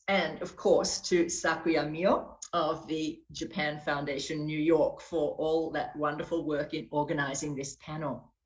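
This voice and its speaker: A woman's voice: deep voice, poor audio. A woman speaking formally as the moderator of an online academic panel.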